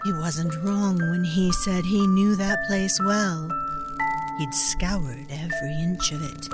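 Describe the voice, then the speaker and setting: A woman playing a knowing, wry storyteller, narrating a dark fantasy audio drama in a deliberate, confiding way. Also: fairly low pitch, natural voice.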